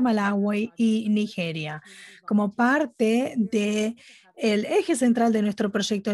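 A woman giving live interpretation at a webinar, speaking haltingly phrase by phrase. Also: fairly high pitch, mellow, dry recording. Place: remote online conference audio channel.

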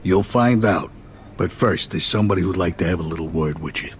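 Man acting a brusque low-voiced mobster underboss. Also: a little fast, a little hiss or hum, close to an everyday voice. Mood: commanding. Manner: streetwise, bossy, exasperated slang. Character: brusque, profane mid-century mobster underboss, world-weary, middle-aged man. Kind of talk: game cutscene acting.

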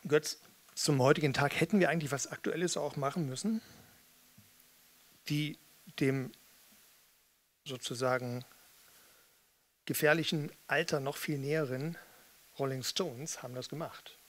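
A man's voice: a little high, measured pace, pauses often, fairly bright tone. A man in his fifties chatting casually between songs in a dry, musing, rambling way, close to a stage microphone. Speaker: spoken-word performer and frontman. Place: small theatre with red seats, indoors. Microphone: studio mic with pop filter on stand, close.

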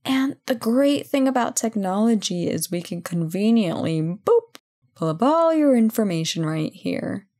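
A woman playing a brisk, playful company boss in an audio roleplay job interview, chatty and full of wry asides. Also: medium pitch, expressive, mellow. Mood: brisk, teasing. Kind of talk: ASMR roleplay, one-sided conversation.

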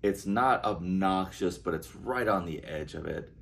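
A man's voice: deep voice, measured pace, creaky. A man in his forties giving a casual, upbeat walk-through review of a hotel room, recorded on a handheld phone indoors. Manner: chatty, upbeat walk-through with frequent asides. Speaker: casino vlogger. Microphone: handheld phone camera.

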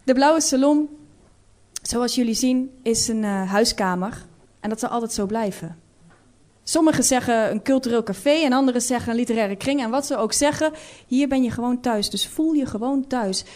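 A woman hosts a cultural salon, presenting live to the room. Her voice is a little higher than average. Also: normal speed, darkish tone.

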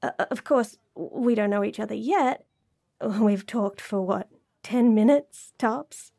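A woman's voice: medium pitch, measured pace, creaky, mellow. A woman playing a shy, smitten young bunny girl in a romantic audio roleplay, stammering and giggly as she rambles bashfully.

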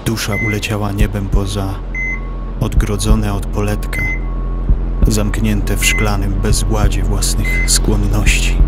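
A man giving a theatrical, deliberate recitation of poetry in an audio play. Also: fairly low pitch. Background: loud music.

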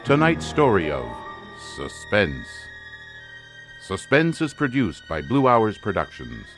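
A man's voice: on the low side, wildly swooping pitch, slow pace, fairly bright tone. A man announcing a suspense radio drama in a scripted, dramatic manner. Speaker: announcer and actor. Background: music.

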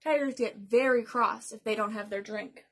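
High-pitched young woman reading a picture book. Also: moderate pace. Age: young adult. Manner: expressive, addresses young listeners with questions. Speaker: library storytime host. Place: small bedroom indoors.

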